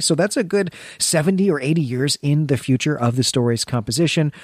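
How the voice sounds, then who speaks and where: A man's voice: mid-range voice, dry recording, studio quality. A man co-hosting a podcast in a conversational, explanatory way, with wry, self-deprecating asides and emphatic repetition. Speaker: podcast co-host. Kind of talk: conversational literary discussion and announcements.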